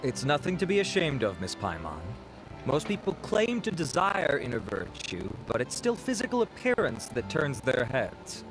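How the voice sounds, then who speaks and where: Suave, vain scholar-businessman for an anime game, slightly high voice, animated intonation, formal courtesy, music behind. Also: normal speed, poor audio. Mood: earnest, polite. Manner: polite, formal, suave, self-assured. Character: courteous, vain male beauty researcher and businessman.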